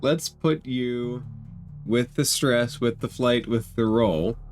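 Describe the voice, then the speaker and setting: Male game master, unhurried delivery, mid-range pitch, guiding tabletop play over prominent guitar music. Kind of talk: narrating and running a tabletop game. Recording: music playing behind the voice.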